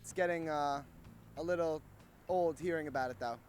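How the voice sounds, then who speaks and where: A man's voice: a little high, normal speed. A nineteen-year-old man giving blunt, firm opinions in a street interview. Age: young adult. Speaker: student interviewee. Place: outdoors on a college campus. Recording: faint music underneath.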